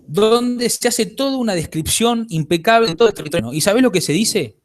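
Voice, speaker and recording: Man, Argentine accent, slightly high voice, lecturing explanations, interview guest. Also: expressive, a little fast. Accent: Argentine Spanish. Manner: explanatory, lecturing, checks in with "¿bien?". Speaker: author guest.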